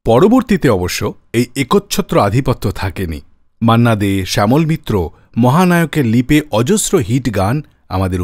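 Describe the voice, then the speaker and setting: Male radio presenter for scripted storytelling, expressive swooping delivery, mid-pitched voice, dry recording. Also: dry recording. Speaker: radio show host.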